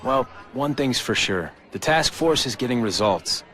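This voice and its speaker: An actor playing a young American corporate heir in a video game, casual but measured and businesslike. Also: male voice, mid-range voice, animated, fairly bright tone, faint music behind, lightly acted. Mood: guarded. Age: young adult.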